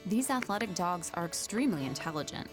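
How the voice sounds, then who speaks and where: Brief: female travel host voice-over, lively and polished, faint music underneath. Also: on the low side, fast. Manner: upbeat, polished narrating. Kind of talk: scripted narration.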